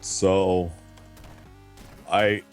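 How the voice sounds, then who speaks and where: A man's voice: deep voice, little pitch movement, extremely slow, faint music behind. A man calling in to a show and chatting casually. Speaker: caller. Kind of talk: casual chat. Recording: noisy voice-chat line with clicks.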